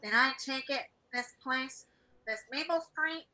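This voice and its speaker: Bright, piercing alien subordinate, played by a woman. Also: high voice, unhurried, exaggerated voice, low-quality recording. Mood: curious, detached. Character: inquisitive female alien subordinate questioning her superior. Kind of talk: radio play acting.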